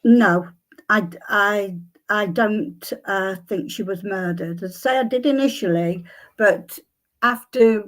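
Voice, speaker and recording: An older woman, a psychic guest, answering questions with many pauses and restarts, her voice a little croaky. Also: medium pitch. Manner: hesitant, many ums and restarts. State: slightly croaky voice. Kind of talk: conversational interview answers.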